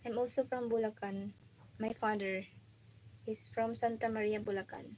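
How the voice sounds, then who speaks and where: A woman's voice: fairly high pitch, steady tone, moderate pace, a little mellow, slight background noise, low-quality recording. A woman in her thirties with a Filipino accent, a Waray speaker, chatting casually with viewers on a livestream from her kitchen and laughing often. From Philippines. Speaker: livestream host. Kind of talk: casual livestream chat reading viewer comments. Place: small home kitchen with tin roof, evening. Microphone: phone camera close up.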